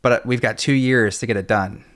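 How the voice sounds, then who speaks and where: A man co-hosting a casual chat, giving short, steady remarks. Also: medium pitch, a little fast, no echo, studio quality.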